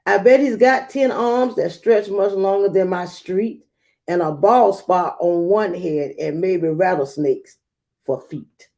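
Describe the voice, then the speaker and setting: Unhurried woman reading a children's storybook. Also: medium pitch. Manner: animated, theatrical emphasis with dramatic pauses. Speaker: storyteller reading a children's picture book aloud. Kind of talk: expressive rhyming storybook read-aloud.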